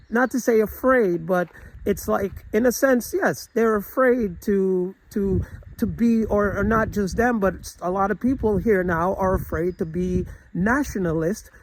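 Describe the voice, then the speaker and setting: A man's voice: high voice, low-quality recording. A man giving an opinionated, casual vlog monologue, earnest and rambling, often restarting his sentences with fillers. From United States. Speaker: travel vlogger.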